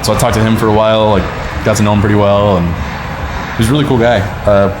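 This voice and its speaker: A man's voice: lowish voice, fairly even intonation, fast talker, over music. A man in his twenties from the United States, a podcast guest telling a long travel story in a rambling, casual way full of "like" and "um". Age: 20s. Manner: rambling, many "like" and "um" fillers. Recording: echoey room with loud background noise.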